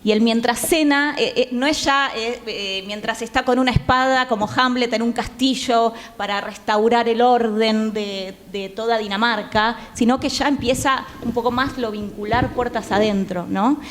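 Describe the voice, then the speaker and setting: A woman with a Rioplatense Argentine accent speaking reflectively as a panel guest, often pausing to find words. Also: fairly high pitch. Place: theatre, live conversation.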